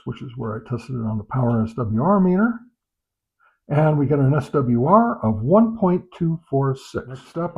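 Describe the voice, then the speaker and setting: A man gives unscripted product test commentary as a review host, pausing often with filler sounds and repeating set phrases. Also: medium pitch, creaky. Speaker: host of a radio gear review channel. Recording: dull, muffled lo-fi sound.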